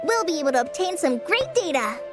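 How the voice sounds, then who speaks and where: A woman's voice: sits high, very animated, brisk, over music. A woman voicing an earnest, polite teenage girl ranger in a video game, with a youthful, girlish sound. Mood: friendly.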